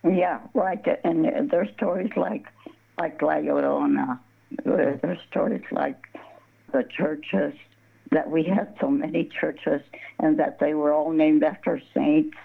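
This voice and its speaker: A woman's voice: deep voice, fairly even intonation, slow, gravelly, husky. An older woman from southern Colorado, telling stories in a casual interview. She rambles, restarts her words and laughs often. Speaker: guest, embroidery artist. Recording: tinny phone line.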